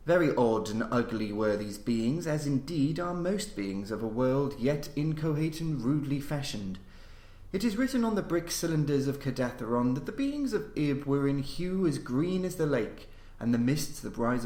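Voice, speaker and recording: A man reading a scripted horror story as a podcast host, in a formal, measured storytelling delivery. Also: mid-range voice. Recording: roomy, echoing voice recording.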